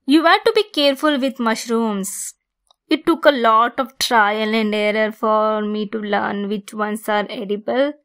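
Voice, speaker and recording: A woman plays a possessive, caring centaur in an ASMR roleplay. Her voice sits fairly high and moves very slowly. She sounds intimate and gently teasing, as if speaking to one listener. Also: steady tone, clear, resonant tone. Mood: calm, instructive. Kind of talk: ASMR roleplay, scripted monologue.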